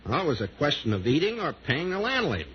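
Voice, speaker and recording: Mock-wounded freeloader, brazen wisecracks, swinging intonation, muffled old radio sitcom sound. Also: male voice, on the high side, quick pace. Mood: mock pitiful. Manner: brazen, deadpan, mock self-pitying. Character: shameless freeloading down-on-his-luck pal, mock-wounded wisecracker. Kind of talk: 1940s radio sitcom acting. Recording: muffled, very noisy 1940s radio recording.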